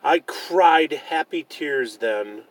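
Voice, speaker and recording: A man voicing a loving, gentle mother bear who reassures her cub in a children's storybook. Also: fairly high pitch, lively intonation, drawn-out words, no echo, full character voice, rough recording.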